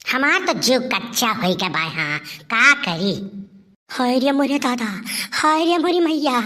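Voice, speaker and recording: Woman acting a bright, wailing village aunt. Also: high voice, animated, measured pace, heavily acted. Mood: panicked lament. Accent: eastern Uttar Pradesh Awadhi. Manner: wailing, exclamatory, repeats herself. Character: scheming, melodramatic older village aunt. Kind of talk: cartoon comedy monologue in rural dialect.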